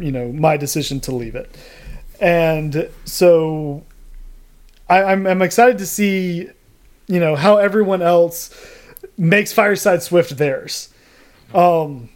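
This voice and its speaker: A man hosting a podcast who talks in a halting way and laughs between phrases. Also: high-pitched. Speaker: podcast host. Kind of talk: casual podcast chat.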